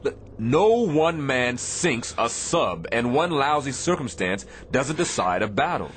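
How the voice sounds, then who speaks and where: An actor playing a firm, compassionate but weary Navy captain in a radio drama, speaking with deliberate, commanding emphasis. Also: male voice, mid-range voice, sing-song, a bit bright. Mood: urgent persuasion. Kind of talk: dramatic radio theatre acting. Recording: faint background noise.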